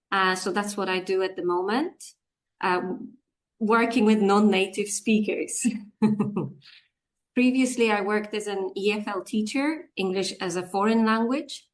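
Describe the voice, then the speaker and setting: A woman in her forties from Poland, chatting as an interview guest about her work, with frequent laughs and fillers. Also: medium pitch. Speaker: guest, business English communications coach. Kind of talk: casual interview chat.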